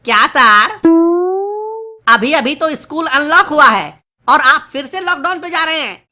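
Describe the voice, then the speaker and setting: Woman voicing a high-pitched cheeky cartoon schoolboy. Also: animated, measured pace, over music. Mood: mocking, cheeky. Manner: brash, mocking. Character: cheeky schoolboy prankster who teases his teacher. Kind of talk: Hindi comedy cartoon dialogue.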